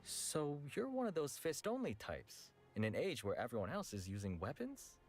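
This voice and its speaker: An actor playing a frank, world-weary weapons merchant in a video game, with a casual, blunt manner. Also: male voice, a little high, huge pitch swings, moderate pace. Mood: cautioning. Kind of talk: scripted game voice acting. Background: background music.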